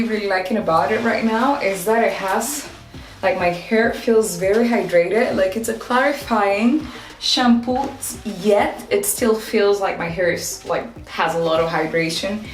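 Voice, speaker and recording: Chatty woman with a Dominican accent. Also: medium pitch. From Dominican Republic. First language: Spanish. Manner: chatty and upbeat, laughs often, says "like" a lot. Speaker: hair care vlogger. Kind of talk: casual product review vlog. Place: home bathroom and shower.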